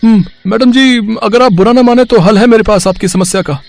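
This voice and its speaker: A man's voice: high voice, brisk, vocal fry, no echo, faint music behind. A man playing a humble, helpful middle-aged auto-rickshaw driver in a cartoon story, speaking deferentially and reassuringly with some hesitation.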